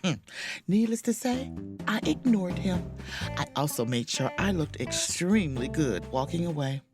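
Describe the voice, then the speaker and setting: A woman playing a low-voiced, indignant space officer. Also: expressive, moderate pace, music in the background, close to an everyday voice. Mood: wry, haughty. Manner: theatrical, wry, emphatic. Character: proud, indignant, self-dramatizing spaceship chief mate on vacation. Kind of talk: audio drama narration as a spoken diary.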